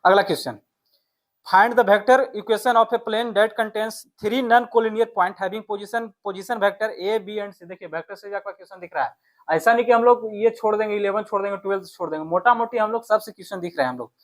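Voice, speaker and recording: Maths tutor, medium pitch, step-by-step exam lesson, frequent check-in tags, addresses students directly. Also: moderate pace. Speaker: maths teacher.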